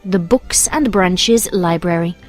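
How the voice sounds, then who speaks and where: An Englishwoman reading scripted storybook narration in a measured, expressive storytelling manner. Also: on the low side. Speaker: fan fiction reader and narrator. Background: music.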